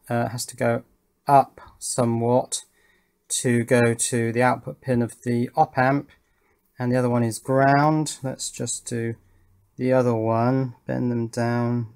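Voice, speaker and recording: A man hosting an electronics channel, giving a casual hands-on demonstration and thinking aloud as he works. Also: mid-range voice. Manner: thinking aloud while working, with tag questions. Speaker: host of an electronics build channel. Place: indoors at a wooden workbench.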